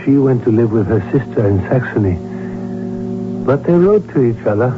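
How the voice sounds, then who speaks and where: A mid-pitched male voice acted by a man as a loyal court doctor. He is measured, formal and a little wry, in old radio drama style. The sound is narrow and muffled, with clear music underneath. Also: expressive, close to an everyday voice. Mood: wry, reflective. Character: loyal, measured court physician recalling his royal patient. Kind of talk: old-time radio drama acting and narration.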